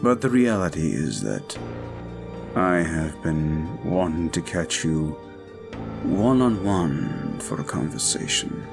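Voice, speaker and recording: A man playing a suave, possessive vampire in an audio roleplay, speaking formally and deliberately with a commanding manner. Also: rumbling low, big pitch swings, vocal fry, over music, heavily acted. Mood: courtly, smooth. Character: suave, controlling male vampire, courtly and possessive. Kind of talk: scripted audio roleplay, slow intimate monologue.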